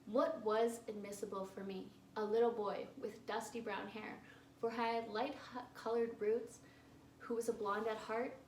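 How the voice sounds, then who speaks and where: A woman's voice: a little high, flat delivery, normal speed, close to an everyday voice. A woman playing a flamboyant, defiant adult male drag queen on trial, in an impassioned, pleading theatrical monologue. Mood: wistful. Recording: echoey room, distant mic, faint hiss.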